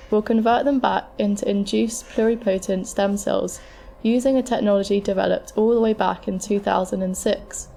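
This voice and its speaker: A woman playing a calm, frank transplant scientist, explaining options in a measured, scripted way for an amateur audio drama. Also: medium pitch, creaky, slight background noise, close to an everyday voice. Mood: matter-of-fact. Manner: measured, matter-of-fact, explanatory.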